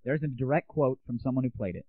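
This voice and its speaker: A man's voice: medium pitch, fast talker, dry recording, narrow, tinny audio. A man hosting a podcast, giving a blunt, sarcastic review and swearing freely. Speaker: podcast host. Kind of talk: ranting gadget review.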